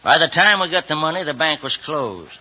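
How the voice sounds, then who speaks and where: An actor playing a gruff, suspicious, curt ranch foreman in an old western radio drama. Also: on the high side, animated, a little fast, bright, forceful tone, full character voice. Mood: gruff, distrustful. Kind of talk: 1950s western radio acting. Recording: dull old radio recording.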